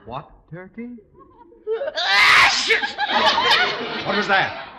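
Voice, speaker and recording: A man's voice: high-pitched, very animated, normal speed, a loud crowd. Blustering, pompous father in noisy old radio. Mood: bewildered, irritable. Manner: pompous, theatrical, exasperated. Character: blustering, easily panicked, pompous middle-aged father and boss. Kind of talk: 1940s radio comedy acting. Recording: noisy old radio recording, hall reverb.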